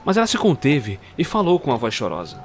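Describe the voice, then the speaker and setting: A man narrating a dark Christmas tale at a mid pitch, measured and suspenseful. He now and then turns to speak straight to the listener. A faint noise sits behind him. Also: huge pitch swings. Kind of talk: scripted storytelling narration.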